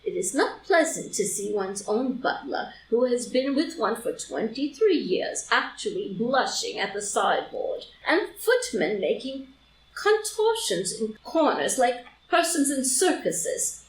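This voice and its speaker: A woman's voice: fairly high pitch, big pitch swings, measured pace. A woman playing an elderly, genteel society lady who rambles confidentially and is easily scandalised, in a live reading of a period comedy. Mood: fussily indignant. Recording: roomy, distant mic, faint noise.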